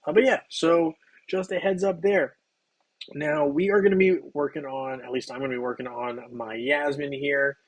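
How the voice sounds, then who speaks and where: A slightly hoarse man hosting a game livestream, chatting loosely with viewers while he plays, with plenty of ums and restarts. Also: fairly high pitch, fairly even intonation, normal speed. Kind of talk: casual livestream chat while playing.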